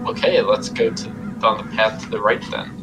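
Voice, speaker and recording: A man's voice: on the low side, flat delivery, moderate pace, over music, rough recording. A man chatting casually as a player in a tabletop roleplaying game.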